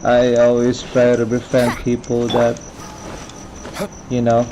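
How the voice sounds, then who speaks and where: A man's voice: mid-range voice, completely flat delivery, takes it slowly, music in the background. A man chatting casually on a game livestream, musing and rambling as he goes. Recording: noisy stream with game sounds behind.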